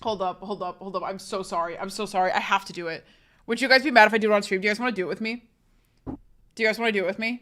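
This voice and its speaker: A woman talking to her stream chat in a relaxed, casual way, at an ordinary middle pitch. She rattles off short phrases and repeats them, breaking into quick apologetic asides.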